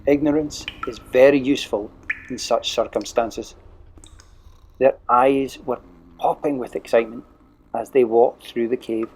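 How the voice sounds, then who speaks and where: A man narrating a children's storybook audio play in a measured, expressive way. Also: medium pitch, a little hiss or hum, low-quality recording.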